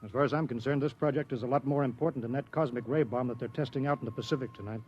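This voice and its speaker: An actor playing an earnest mission controller in a 1950s radio drama, giving clipped, brisk instructions to a test pilot. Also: male voice, mid-range voice, darkish tone, a little hiss or hum, close to an everyday voice. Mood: firm. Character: earnest male mission controller, protective and anxious. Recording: dull 1950s radio broadcast.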